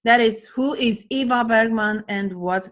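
A woman's voice: on the high side, a little slow, dull audio. A woman in her forties, a guest coach telling stories earnestly and enthusiastically in a casual interview. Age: 40s.

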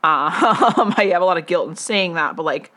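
A woman's voice: on the low side, moderate pace, pushed and bright. A young woman casually telling a personal story at home, rambling with many fillers and restarts.

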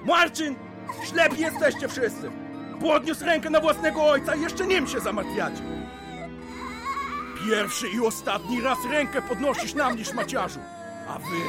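An actor playing a violent, abusive and menacing drunken husband and father in an audio drama. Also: very high voice. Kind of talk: Polish audio drama acting.

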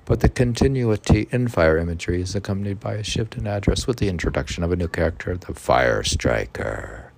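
A man hosting an informal poetry podcast, reading aloud haltingly and stumbling over words, with muttered asides. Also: sits low, animated, vocal fry, a little hiss or hum.

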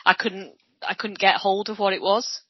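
A woman's voice: mid-range voice, little pitch movement, moderate pace, slightly rough, dry recording. A woman from Wales with a Welsh accent, co-hosting a podcast and earnestly making her points in casual chat. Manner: earnest, makes points at length. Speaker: podcast co-host. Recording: dry, dull lo-fi sound.

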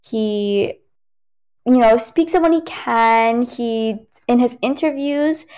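A woman's voice: on the high side, takes it slowly, darkish tone, clear, resonant tone. A young American woman, a Cantonese speaker, chatting casually and playfully with slang and frequent laughs. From United States. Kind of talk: casual chat.

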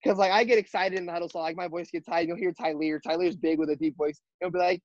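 Teen boy, high-pitched voice, filler-heavy, earnest, dry room, muffled audio. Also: steady tone, fast, no room sound. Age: teens. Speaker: high school quarterback, interview guest. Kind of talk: casual interview answers.

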